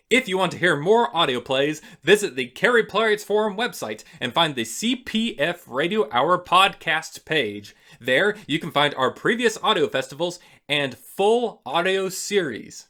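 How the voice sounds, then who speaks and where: A male radio announcer reads scripted credits in a presentational way. His voice is fairly high and bright, with a forward edge. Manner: presentational, clearly read.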